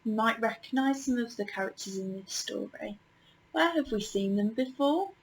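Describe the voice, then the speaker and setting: A woman reading a children's picture book aloud as a storyteller, measured and with deliberate pauses. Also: fairly high pitch, a little hiss or hum, low-quality recording.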